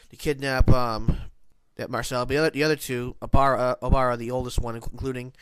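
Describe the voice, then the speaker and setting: A man's voice: medium pitch, fairly even intonation, fast, a bit bright, clear, resonant tone, no room sound. Congested, nasal-voiced man reviewing an episode. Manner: rambling, many restarts and self-corrections. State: congested from hay fever. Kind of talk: casual spoken review.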